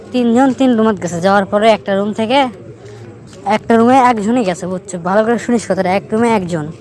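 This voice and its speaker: A man's voice: high voice, darkish tone, close to an everyday voice. A man with a northern Bangladeshi accent playing a teasing young riddler in an amateur cartoon, explaining things to his friend. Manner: teasing, explanatory, keeps checking the listener follows. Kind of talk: amateur cartoon dialogue, storytelling.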